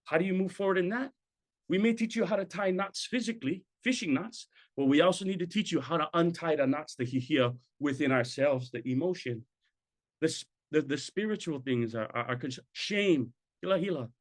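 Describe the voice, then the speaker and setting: A man's voice: on the high side, animated, fairly quick. A middle-aged man presents a talk over a video call, speaking conversationally and checking in with tag questions. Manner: conversational, tag questions, sprinkles in Hawaiian words. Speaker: program presenter. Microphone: video call webcam.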